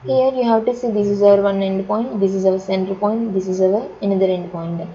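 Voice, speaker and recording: Woman, Indian accent, software instructor, soft dark tone, step-by-step repetitive explanations, muffled low-fidelity recording. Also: fairly even intonation, brisk. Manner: instructional, repetitive, in simple non-native phrasing. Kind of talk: step-by-step software tutorial narration. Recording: dull lo-fi recording with faint noise.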